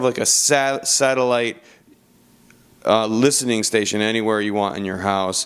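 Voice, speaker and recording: A man's voice: on the low side, clear, resonant tone. A man narrating a product review in an informal, conversational style, as a reviewer talking through features. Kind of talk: casual video review narration.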